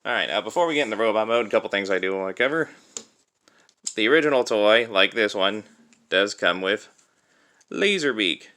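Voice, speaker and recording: Man, young adult, bright forceful tone, smooth clear voice, casual reviewer, halting conversational delivery. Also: on the low side, expressive. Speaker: toy reviewer. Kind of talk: casual unscripted product review. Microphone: cell phone used as camera.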